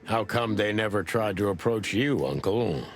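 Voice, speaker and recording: Casting: male actor as tough rooster detective, deep, dry and laconic, hard-boiled noir game. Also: expressive, normal speed, faint music behind, lightly acted. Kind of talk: noir adventure game voice acting.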